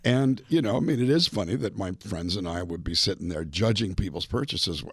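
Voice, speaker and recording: A man in his seventies from a California border town, a bilingual singer-songwriter guest in a casual interview, telling relaxed stories and acting out the voices he quotes. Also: low-pitched, a little fast, slightly rough, no echo, clean studio sound. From California, US (Mexican border town). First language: bilingual English and Spanish. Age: 70s.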